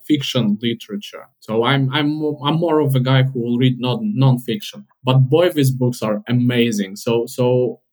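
A man's voice: mid-range voice, normal speed, hardly any pauses, a little mellow. Young man, thoughtful and hesitant. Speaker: podcast guest, software developer. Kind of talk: casual podcast chat.

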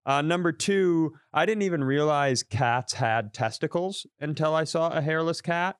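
A man chatting on a podcast with a smooth, resonant voice, heard up close and dry with no room sound. He tells wry, exaggerated stories and swears freely. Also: a little high, normal speed, no room sound. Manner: wry, exaggerated storytelling with profanity. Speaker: podcast co-host. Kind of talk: casual comedic chat.

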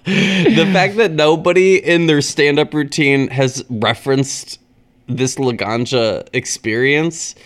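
A man's voice: on the high side, slow pace, fairly bright tone. A man co-hosting a podcast in casual chat, rambling and hesitating as he searches for words. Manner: rambling, hesitant, lots of fillers. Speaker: podcast co-host.

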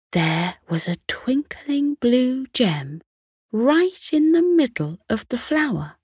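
Slow, low-pitched woman telling a bedtime story. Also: dry recording, studio quality. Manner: gentle, measured storytelling. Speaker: bedtime story narrator for children. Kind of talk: scripted bedtime story narration.

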